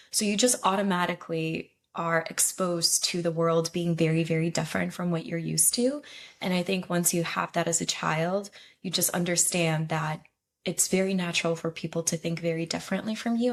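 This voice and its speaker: A young adult woman from Ukraine, a travel consultant, answering interview questions in a relaxed, hesitant way with many ums and restarts. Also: on the low side. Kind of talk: casual interview conversation.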